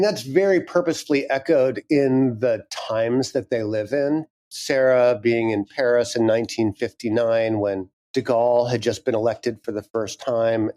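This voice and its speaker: A man, the creator of an audio drama, talking reflectively and animatedly in an interview about his writing. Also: mid-range voice. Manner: reflective, animated, thinks aloud. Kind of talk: interview conversation.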